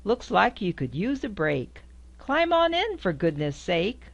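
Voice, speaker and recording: A woman's voice: sing-song, unhurried, gravelly, muffled recording. Older woman reading a picture book aloud. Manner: sing-song, squeaks high on the horn sounds. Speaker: storybook reader. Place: indoors before a quilt backdrop.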